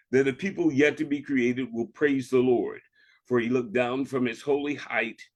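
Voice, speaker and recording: Male reverend, mid-pitched, deliberate and measured, delivering a sermon-like devotional reading.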